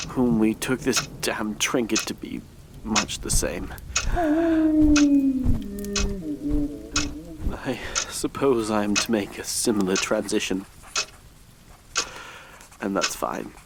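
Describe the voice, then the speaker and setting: A man's voice: fairly low pitch, noisy background. A man playing a guilt-ridden grave robber who narrates his doom in a brooding, theatrical way, in a gothic horror audio drama. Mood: resigned, haunted.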